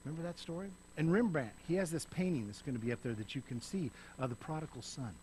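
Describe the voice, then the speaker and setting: A middle-aged man preaching on a church stage, swinging between loud emphasis and soft, hushed passages. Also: on the high side, fast talker, slight background noise, low-quality recording. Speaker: pastor. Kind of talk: sermon. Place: church stage, in front of a lit backdrop.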